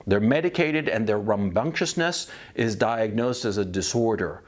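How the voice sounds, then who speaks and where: A man's voice: on the low side, normal speed. A middle-aged man from Chile giving a blunt, profane opinion monologue, often repeating words as he starts a thought. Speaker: commentator.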